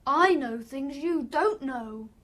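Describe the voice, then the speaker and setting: Childlike, menacing young man, very high voice. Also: expressive, slow, a bit bright, heavily acted. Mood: boastful, giggly. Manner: childish, taunting. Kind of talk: radio drama acting.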